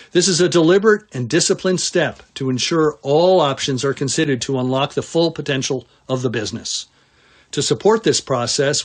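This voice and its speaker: A mature man speaks as a company chief executive at a medium pitch. He reads prepared financial remarks in a measured, formal and businesslike manner. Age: middle-aged or older. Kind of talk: prepared earnings call remarks.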